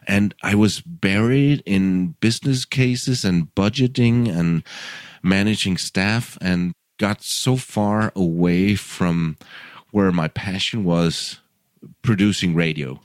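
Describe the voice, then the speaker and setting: Deep-voiced Danish man telling his story. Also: slow, dry recording, clean studio sound. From Denmark. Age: 50s. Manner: repeats words and restarts often, chuckles, animated when quoting himself. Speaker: podcast guest, radio producer. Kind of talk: casual interview storytelling.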